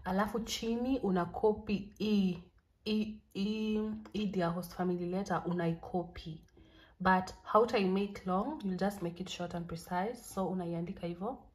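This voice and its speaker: A woman's voice: medium pitch, steady tone, normal speed, darkish tone. A young Kenyan woman in her twenties talking through a casual tutorial, mixing Swahili and English in a chatty, encouraging way. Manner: chatty, encouraging, switches between languages. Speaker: vlogger.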